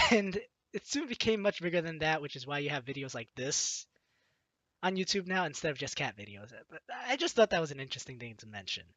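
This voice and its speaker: A woman's voice: low-pitched, big pitch swings, a little fast, pushed and bright, no echo. A woman let's player reading a game's story aloud expressively and adding playful, casual commentary.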